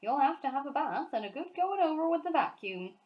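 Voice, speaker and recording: A woman's voice: high voice, big pitch swings, a little fast, muffled recording, pitched above the performer's own voice. A woman voicing a stern, no-nonsense female housekeeper, firm and scolding, in a children's storybook.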